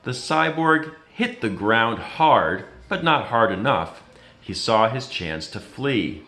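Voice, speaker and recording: Male read-aloud narrator, medium pitch, lively rising and falling delivery for kids. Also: slow pace. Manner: expressive, dramatic storytelling. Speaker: reader. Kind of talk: children's storybook read-aloud.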